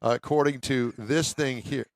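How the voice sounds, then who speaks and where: A man's voice: medium pitch, steady tone, normal speed, no echo. An older American man co-hosting a radio show, chatting casually and reading items aloud in a jokey, conversational way. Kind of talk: casual banter and reading news stories aloud.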